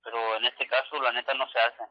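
A man's voice: mid-range voice, level tone, a little fast. A man with a northern Mexican accent in a slangy, casual phone conversation, hedging and evasive as he talks. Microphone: telephone call. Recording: dull lo-fi telephone line.

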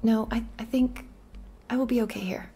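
Actress as high-voiced noir femme fatale. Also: female voice, fairly even intonation, moderate pace, mellow, soft background music, close to an everyday voice. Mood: uneasy. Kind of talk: noir game voice acting.

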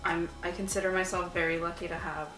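A woman's voice: lowish voice, fast talker, echoey room, soft background music, low-quality recording. Chatty young woman, filler-heavy. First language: Arabic. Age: 20s. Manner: chatty, many "like" fillers, relaxed. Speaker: artist and vlogger. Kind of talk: casual Q&A chat while painting. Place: small home room, sitting on a couch. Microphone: camera a few feet away.

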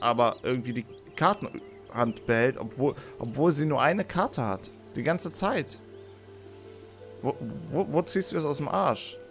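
A man records casual let's play commentary with music playing behind him. His mid-pitched voice sounds a little hoarse and under the weather. He thinks aloud as he goes, often repeating words. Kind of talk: casual video game commentary. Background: game music.

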